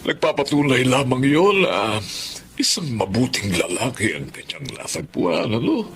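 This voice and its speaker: An actor playing a caring older father in a Filipino radio drama, expressive and full of thoughtful interjections. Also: mid-range voice, moderate pace, vocal fry, a little hiss or hum. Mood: concerned, relieved. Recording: music behind the voice.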